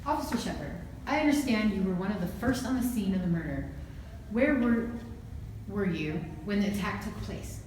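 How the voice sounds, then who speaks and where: A woman's voice: medium pitch, expressive, moderate pace, darkish tone, lightly acted. A woman acting a formal, probing prosecutor in an amateur courtroom drama. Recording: very echoey room, distant, faint noise.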